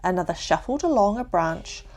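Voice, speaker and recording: A woman with a New Zealand accent narrating a calm bedtime story for children, gently and with deliberate pauses. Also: on the low side.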